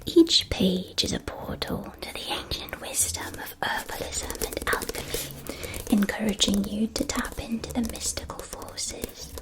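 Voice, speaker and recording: A woman's voice: medium pitch, lightly acted, rough recording. A woman playing a courteous, doting shopkeeper of a witch school in an ASMR roleplay, speaking in a genteel, old-fashioned way.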